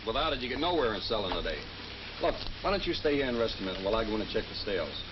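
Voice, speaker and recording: A man's voice: fairly low pitch, big pitch swings, racing. A man with an American accent playing a folksy, eager bread route salesman explaining his job in a 1950s training film. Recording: noisy, muffled 1950s film soundtrack.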